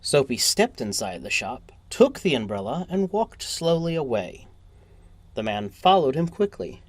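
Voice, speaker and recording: A man gives a scripted storybook narration in a mid-pitched voice. He phrases deliberately, and the sound is dry, with very little room. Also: sing-song, no room sound. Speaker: narrator, short story reader.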